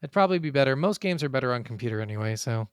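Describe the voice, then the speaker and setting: A man hosts a gaming podcast in a casual, blunt way. His mid-range voice is smooth and clear, recorded very dry. He talks like he is chatting with a friend and swears freely. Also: medium pitch, expressive, very fast, no room sound. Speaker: podcast host.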